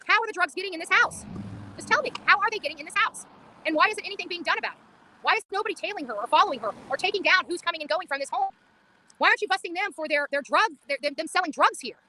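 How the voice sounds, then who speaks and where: A woman's voice: high-pitched, bright, forceful tone, no room sound. A woman livestreaming an impassioned, insistent monologue outdoors on a street, repeating phrases for emphasis.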